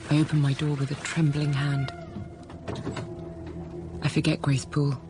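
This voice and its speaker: An actress playing an introspective young governess narrating her own story, hushed, intimate and deliberate, in a radio drama. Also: sits low, level tone, music in the background, lightly acted. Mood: tense. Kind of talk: dramatised first-person narration.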